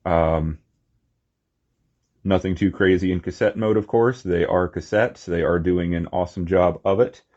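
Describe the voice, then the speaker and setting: A man giving a casual, unscripted hands-on toy review, talking in short, halting phrases on a slightly muffled recording. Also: sits low, fairly even intonation, darkish tone. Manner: halting, short phrases while handling objects.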